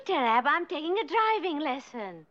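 A high, lilting Hungarian-accented wife whose voice swoops all over as she sweetly mangles words. She is naive and glamorous. The sound is a dull lo-fi 1960s TV soundtrack. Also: sing-song, moderate pace, fairly bright tone. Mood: playful. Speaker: sitcom wife. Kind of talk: 1960s sitcom acting.

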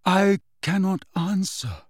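A man narrator puts on a wistful storybook ghost, gentle and evasive. The speech is slow, formal and mournful, with hesitant phrasing, recorded close and dry. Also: high voice, lively intonation, no echo, pitched above the performer's own voice, exaggerated voice. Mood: quietly resigned.

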